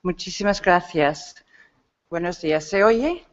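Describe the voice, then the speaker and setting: Slow, halting woman lecturing, slightly muffled. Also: fairly low pitch, animated. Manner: halting, searching for words with self-corrections. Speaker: academic speaker at a symposium. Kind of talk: conference lecture. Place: conference hall.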